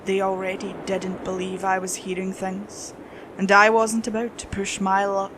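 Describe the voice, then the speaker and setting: A woman's voice: medium pitch, completely flat delivery, moderate pace, noisy background. A woman reading a scripted horror story as its narrator.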